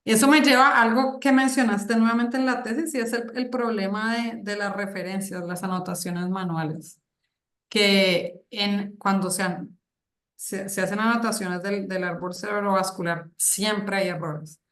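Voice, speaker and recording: A woman's voice: mid-range voice, steady tone, normal speed, fairly bright tone. Hesitant woman on an echoey video call. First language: Spanish. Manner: hesitant, many fillers and restarts. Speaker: thesis jury member. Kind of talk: academic questioning at a thesis defense. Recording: echoey room.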